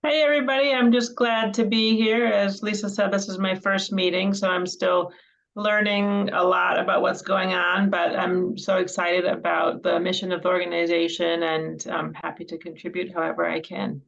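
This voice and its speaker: Woman with a gravelly voice, upbeat intro, muffled call audio. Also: lowish voice, little pitch movement, normal speed, a bit bright. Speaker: coach and consultant. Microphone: video call.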